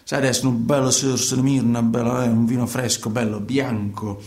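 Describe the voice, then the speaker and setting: An actor playing a naive young man who drives migrants and dreams of singing, with a southern Italian accent, in an excitable, repetitive, colloquial radio theatre style. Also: mid-range voice, moderate pace, reverberant, lightly acted. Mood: nervous, grumbling.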